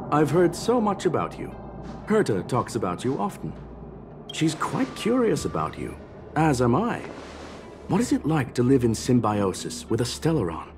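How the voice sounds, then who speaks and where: A man voicing a courteous, curious mechanical gentleman in a video game cutscene, speaking with formal, measured politeness. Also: medium pitch.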